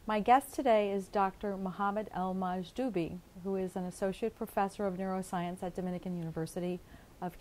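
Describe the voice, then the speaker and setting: A woman's voice: medium pitch, a little mellow, slight background noise. A woman hosting a community access television interview, encouraging her guest with some hesitant fillers.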